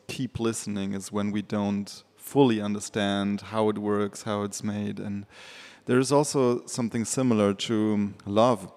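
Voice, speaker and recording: Man, artist on a panel, reflective, hesitant, monotone delivery. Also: lowish voice. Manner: thoughtful, hesitant, many fillers.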